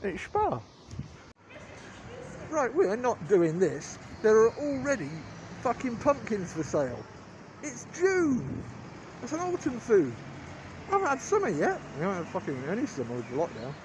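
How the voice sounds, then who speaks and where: Lively, higher-pitched English man vlogging. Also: moderate pace, noisy background. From England. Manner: informal, wry, sweary. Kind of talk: casual rambling vlog narration. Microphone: phone at arm's length.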